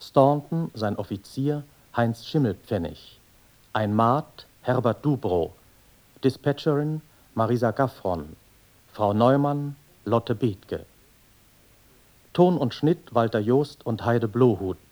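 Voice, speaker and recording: A male radio announcer reads credits formally. His medium-pitched voice is measured and unhurried, leaving each item clearly separate. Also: expressive, darkish tone. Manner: measured, deliberate.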